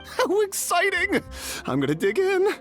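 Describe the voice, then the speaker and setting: A woman's voice: extremely high pitch, wildly swooping pitch, a little slow, full character voice. A woman playing an eager, candid food taster in a video game, exclamatory and a little hesitant. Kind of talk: video game dialogue acting. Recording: studio dialogue, faint game music.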